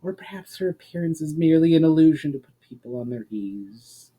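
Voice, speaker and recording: A man voicing a wise, mysterious woman guide in a fantasy story, explaining in a formal, measured manner. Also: on the high side, animated, breathy edge, highs cut off. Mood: grave, patient. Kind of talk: fantasy audiobook dialogue.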